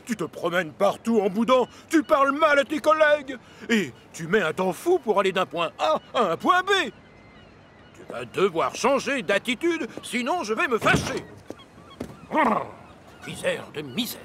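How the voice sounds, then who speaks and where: A man's voice: high voice, sing-song, unhurried, fairly bright tone, slight background noise, heavily acted. A man playing a blustering, scolding railway boss in a French cartoon dub, stern, fussy and exasperated.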